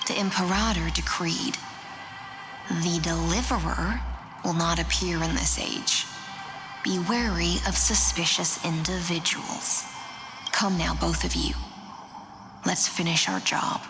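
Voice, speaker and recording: A composed, commanding noblewoman in a fantasy game. She speaks slowly in a slightly low voice, coolly formal and guarded, over prominent music. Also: fairly even intonation. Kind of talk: dramatic game dialogue.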